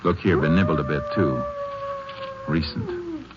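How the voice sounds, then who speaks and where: Deep-voiced Texas Ranger. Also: male voice, wildly swooping pitch, unhurried, exaggerated voice. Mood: low, focused. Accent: American. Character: steady, determined Texas Ranger and narrator of the manhunt. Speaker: lead actor and narrator. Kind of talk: 1950s radio drama acting. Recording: muffled, noisy 1950s radio recording.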